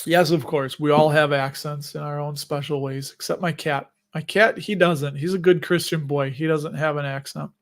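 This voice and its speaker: Man, Chicago accent, middle-aged, slightly high-pitched, rambling livestream host, self-amused. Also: fairly even intonation, moderate pace, few pauses. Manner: rambling and chatty, with frequent restarts and self-amused laughs. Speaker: host of a dividend investing livestream. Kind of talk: casual livestream chat answering viewer questions.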